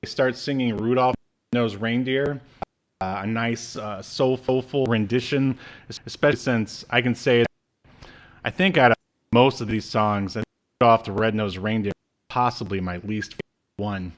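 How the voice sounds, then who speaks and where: A man's voice: mid-range voice, steady tone, moderate pace. Man casually recapping on a podcast. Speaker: podcast co-host. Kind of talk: casual recap and review chat. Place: small indoor room, video call.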